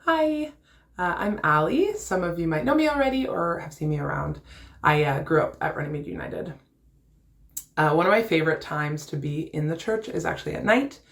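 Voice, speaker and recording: Young female church member, low voice, conversational delivery of a prepared personal reflection. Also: lively intonation, normal speed, vocal fry. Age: young adult.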